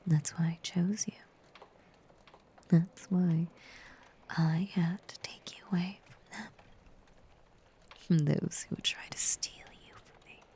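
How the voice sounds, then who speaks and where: A woman playing a possessive, obsessive female werewolf in an intimate audio roleplay, half-whispering with slow, seductive menace. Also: medium pitch, warm tone, slightly rough, heavily acted. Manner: slow, lingering, seductive delivery.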